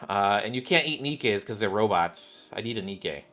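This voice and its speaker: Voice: low-pitched, lively intonation, moderate pace, sharp tone, soft background music. A streamer giving casual, joking, rambling commentary while playing a video game. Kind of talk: casual live commentary and story reading.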